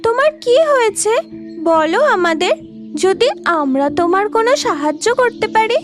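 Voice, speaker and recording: A woman's voice: very high-pitched, animated, normal speed, faint music behind. A woman voicing an eager, kind little flower fairy girl in a children's cartoon. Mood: eager, concerned.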